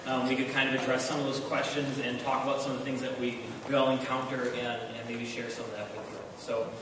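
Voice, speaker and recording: A man from Wisconsin moderating a convention panel, talking in a halting way with many fillers and restarts. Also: mid-range voice, level tone, noisy background. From Wisconsin, USA. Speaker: panel moderator and bar owner. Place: large convention hall. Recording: echoey hall mic from far off.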